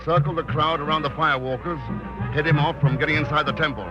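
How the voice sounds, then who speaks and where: An actor playing a steady, decisive adventurer in a 1940s radio drama, with a clipped, commanding manner. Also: male voice, on the high side, fast talker, close to an everyday voice. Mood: brisk, commanding. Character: steady, decisive male adventurer taking charge in danger. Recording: muffled vintage radio broadcast with music.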